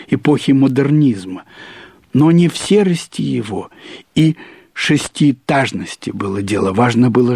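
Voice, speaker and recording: A man reads a literary story aloud in the first person, expressively, with deliberate phrasing, drawn-out words and pauses. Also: mid-range voice, darkish tone, slightly rough, no room sound, studio quality. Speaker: narrator reading a short story aloud.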